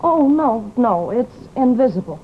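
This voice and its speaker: A woman's voice: a little high, very animated, a little slow. An actress playing a troubled, genteel young lady in old-time radio drama, speaking in a polite, earnest, imploring way. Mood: distressed. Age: young adult. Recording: muffled, noisy old radio recording.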